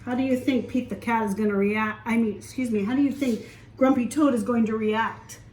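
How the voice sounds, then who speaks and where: A woman storytime teacher reading aloud to preschoolers, expressive, with questions for the young listeners. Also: a little high, a little fast, reverberant, recorded from a distance, slight background noise, rough recording.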